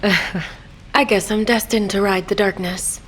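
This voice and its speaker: A woman's voice: mid-range voice, steady tone, slow pace, breathy edge, rough recording. A woman playing a sly, worldly boss and tough-love mentor in a video game, teasing and commanding. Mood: firm, teasing.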